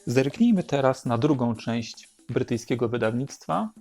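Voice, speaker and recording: A man narrating an unhurried show-and-tell as host, in a measured, explanatory way. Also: mid-range voice, expressive, darkish tone, faint music behind. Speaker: host presenting a record collection.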